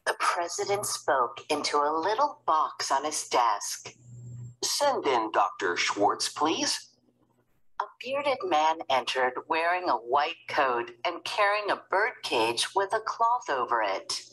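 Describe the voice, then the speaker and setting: A woman reads a children's story aloud as narrator. Her voice is low and slightly rough-edged and husky, and she takes her time with very animated intonation. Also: a little slow, few pauses, rough recording.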